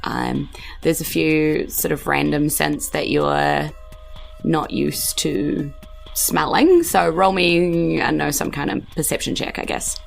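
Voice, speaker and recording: A woman's voice: low-pitched, slow, gravelly, faint music behind. A woman with a New Zealand accent improvising tabletop game narration as game master, informal and descriptive with hesitant phrasing.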